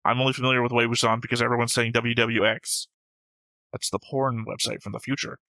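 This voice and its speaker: Bright-voiced man bantering on a reaction show. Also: medium pitch, little pitch movement, fairly quick, no echo. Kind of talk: casual banter and comment reading.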